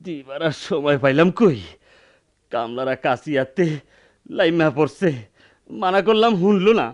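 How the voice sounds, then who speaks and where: An actor playing a wounded young peasant in a Bengali radio drama, dazed, pained yet defiant, with a rural Bangladeshi dialect. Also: male voice, on the high side, normal speed, highs cut off, close to an everyday voice. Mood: aggrieved. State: dazed, in pain.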